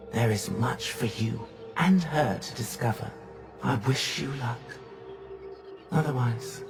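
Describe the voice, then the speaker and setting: An actor playing an ominous, composed guide to memories in a video game, speaking in a measured, enigmatic manner. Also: male voice, mid-range voice, natural voice. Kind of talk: scripted game narration. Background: music.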